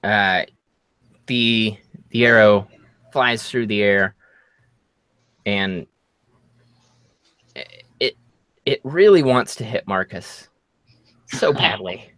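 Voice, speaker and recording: A man running a tabletop game, improvising narration as game master in a halting, drawn-out way. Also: on the low side, fairly bright tone, dry recording. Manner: halting, drawn-out storytelling delivery.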